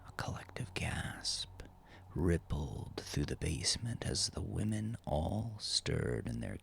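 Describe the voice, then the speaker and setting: A man narrating a dark audiobook story in a grave, suspenseful, deliberate manner. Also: very deep voice, gravelly, a little hiss or hum. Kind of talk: dramatic scripted audiobook narration. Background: music under the voice.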